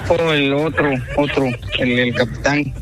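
A man's voice: medium pitch, steady tone, unhurried, music in the background. A man from Guatemala, the target of a prank call, giving shy and hesitant short answers.

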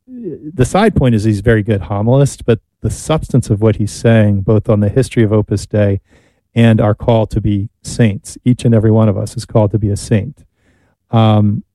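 A middle-aged man with an American accent co-hosting a talk show, reminiscing casually in a halting, reflective way. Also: medium pitch, dark tone, no echo.

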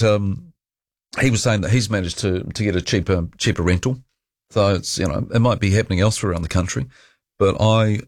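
A man's voice: on the low side, dry recording, studio quality. A man with a New Zealand accent hosting a talkback radio show, chatty and rambling as he takes calls. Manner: rambling and chatty, restarts often. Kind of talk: casual talkback chat. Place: radio studio.

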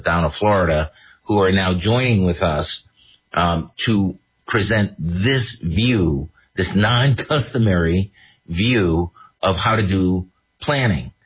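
A husky, fairly low-voiced man talks through his own radio show, casual and animated, now and then mocking others in a put-on voice. The sound is dull and lo-fi, like an old radio broadcast. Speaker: radio show host and estate attorney.

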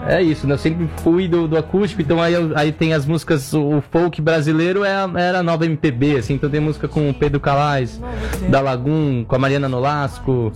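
A man's voice: on the high side, steady tone, fairly quick, darkish tone, soft background music. A man from Brazil, a guest singer-songwriter, talking casually in an interview, rambling with many fillers and restarts.

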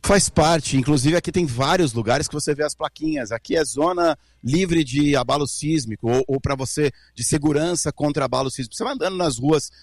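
A man reporting live from abroad, explaining facts in a brisk way with some hesitant restarts. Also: fairly high pitch, dry recording. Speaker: field correspondent. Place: outdoors in Chile.

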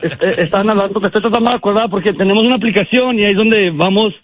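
A man in his twenties with a high voice talks as a caller on a radio show. He is polite and a bit hesitant, chatting casually over a muffled, lo-fi line. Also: very fast, a little hiss or hum. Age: 20s. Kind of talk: casual chat. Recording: dull lo-fi call on radio.

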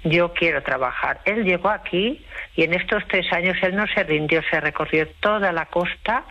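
A tired woman interviewed by phone, giving a personal account in a halting, reflective way. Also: fairly low pitch, bright, forceful tone. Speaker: interview guest, host to migrants. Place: remote phone call. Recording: muffled, band-limited phone call.

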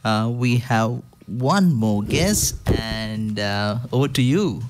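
A man's voice: mid-range voice, expressive, slow. A man acting as host, thanking and introducing guest speakers at an event. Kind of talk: event hosting. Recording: clearly noisy background with clicks.

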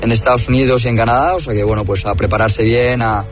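A man's voice: mid-range voice, steady tone. Hesitant man answering, light music behind. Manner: hesitant, halting. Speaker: tennis player interviewed. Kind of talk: spontaneous interview answer.

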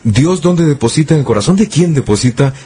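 A man's voice: fairly high pitch, lively intonation, brisk, dark tone. A man hosting a radio program, a friendly interviewer who keeps affirming what others say. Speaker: radio host.

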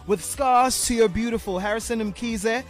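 A woman's voice: fairly high pitch, normal speed, soft background music. A South African woman hosting a casual radio interview, introducing her guest and putting questions to them. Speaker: radio host.

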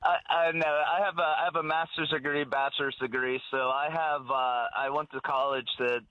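A man's voice: a little high, fairly even intonation, moderate pace, no room sound. A male caller phoning into a radio show, chatty and self-deprecating, laughing often. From Pittsburgh area. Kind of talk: phone-in call on a radio show. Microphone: phone. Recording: muffled phone line.

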